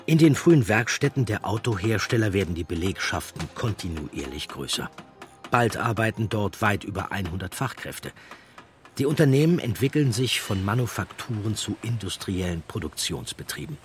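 Low-voiced male narrator. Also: big pitch swings, moderate pace, faint music behind. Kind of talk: scripted documentary narration.

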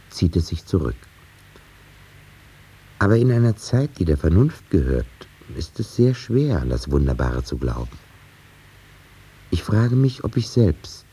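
An actor playing a reflective, sceptical storyteller, narrating a 1950s German radio drama in a measured, musing way. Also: male voice, rumbling low, vocal fry, dark tone, husky, full character voice.

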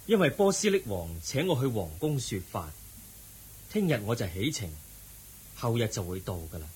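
An actor playing the Buddha as a serene, compassionate teacher in a radio drama, speaking measured, earnest Cantonese with a Hong Kong accent. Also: male voice, medium pitch, big pitch swings, darkish tone, slight background noise, lightly acted, low-quality recording. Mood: solemn, earnest.

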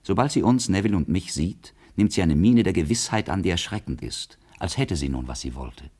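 A man's voice: sits low, animated, a little fast, darkish tone, breathy edge. An actor playing a brooding, observant man in a literary radio drama, solemn and poetic. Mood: intense.

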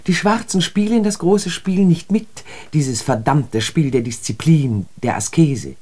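An actor playing a critical, reflective observer of city life in a radio drama, with earnest, deliberate rhetorical phrasing. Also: mid-range voice, animated, mellow. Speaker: radio play speaker. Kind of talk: literary German radio drama monologue.

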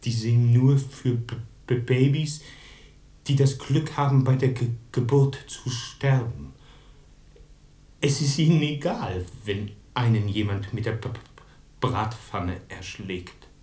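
An actor playing a timid, wounded young outsider in a radio drama, speaking haltingly with a heavy stutter. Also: male voice, medium pitch, mellow, echoey room, close to an everyday voice. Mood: bitter, sad.

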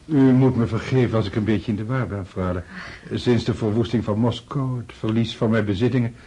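An actor playing a dejected, muddled older count in a radio play, courteous, hesitant and apologetic. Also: male voice, lowish voice, fairly even intonation, a little fast, mellow, breathy edge. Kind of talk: Dutch radio play acting.

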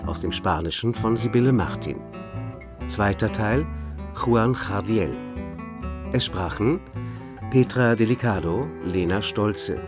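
A man reading the credits of a radio play as a scripted announcement, measured and formal. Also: fairly low pitch. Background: plucked guitar music.

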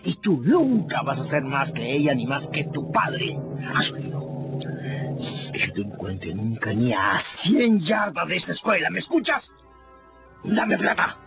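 A drunken, bullying backwoods father in a radio play, with a gravelly, rough voice that lurches wildly from low growls up to high yells. The recording sounds dull and lo-fi, with clear music playing underneath. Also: big pitch swings, a little slow, full character voice. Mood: menacing. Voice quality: gravelly, menacing. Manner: bullying, barking, swings between growls and yells. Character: drunken brutal backwoods father, older man. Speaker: radio drama actor. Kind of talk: radio drama acting. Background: clear music bed.

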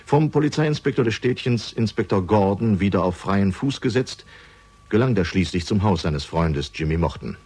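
A man reading scripted narration for a crime radio drama, measured and dramatic, with deliberate pauses. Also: on the low side, lively intonation, darkish tone, husky.

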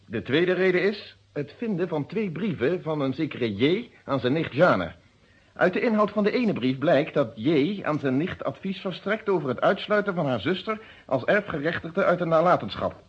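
A husky, methodical police inspector, lo-fi radio drama. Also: male voice, fairly high pitch, very animated, full character voice. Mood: measured, explanatory. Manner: formal, precise, deliberate phrasing. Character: methodical, unyielding police inspector questioning his own friend. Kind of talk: Dutch detective radio drama acting. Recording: dull lo-fi old radio recording.

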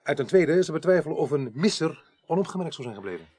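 A man's voice: a little high, sing-song, fast talker, a little mellow, husky, dry recording, studio quality. A man acting in a Dutch radio play as a cautious, plain-spoken senior police detective with a hesitant, conversational delivery. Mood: practical, hesitant.

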